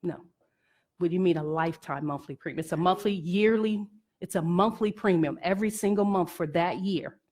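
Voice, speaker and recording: A woman's voice: on the low side, fairly quick, a little mellow. A woman giving an informal educational talk as a presenter, explaining step by step with emphasis and checking that listeners follow.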